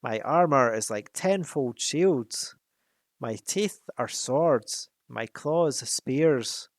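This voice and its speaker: Give me a man doing a boastful, wicked old dragon from a storybook, booming and slow, in a dry room. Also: expressive, no echo. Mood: gloating. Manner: gloating, booming, slow menacing delivery. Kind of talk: storybook reading.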